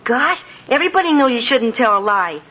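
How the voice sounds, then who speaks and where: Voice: mid-range voice, very animated, brisk, sharp tone. A performer playing an earnest, trusting little boy giving testimony in a 1950s radio drama. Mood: innocent, sure. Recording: muffled, noisy vintage radio recording.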